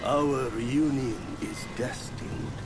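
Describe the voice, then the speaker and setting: A man's voice: medium pitch, slow pace, natural voice. A man playing a wily, genial, boastful mentor in a fantasy game, speaking in formal, theatrical phrases. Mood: sly, welcoming. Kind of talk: cinematic fantasy game acting. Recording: loud music behind the voice.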